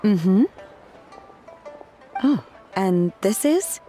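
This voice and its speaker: A woman's voice: medium pitch, lively intonation, very slow, dark tone, soft background music. A woman voicing an aloof, polite young disciple working as a waitress in an anime game, plain and matter-of-fact. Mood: polite, businesslike.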